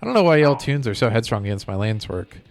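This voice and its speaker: A man's voice: mid-range voice, very animated, fast. A man voicing a stubborn corporate robot land developer from a video game, with a drawling, folksy, self-important manner, over music. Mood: folksy, aggrieved. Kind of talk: reading video game villain dialogue aloud.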